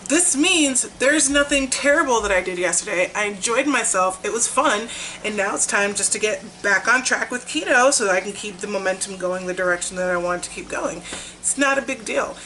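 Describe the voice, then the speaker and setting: A woman's voice: mid-range voice, lively intonation, normal speed, pushed and bright, a little hiss or hum, rough recording. A young woman chatting casually in a vlog, upbeat and talkative, while she is losing her voice. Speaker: vlogger. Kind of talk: casual weight-loss vlog.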